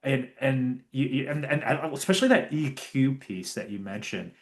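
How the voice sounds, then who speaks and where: A man's voice: medium pitch, expressive, moderate pace. A man hosting a casual interview, enthusiastic and affirming, who often repeats words as he starts a thought. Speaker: podcast host.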